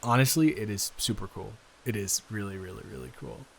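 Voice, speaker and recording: A man playing a friendly, bookish young guy, his best friend's brother, chatting casually and haltingly in an improvised roleplay audio. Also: fairly low pitch, vocal fry, a little hiss or hum. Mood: enthusiastic, rambling. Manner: halting, rambling, self-interrupting with repeated restarts.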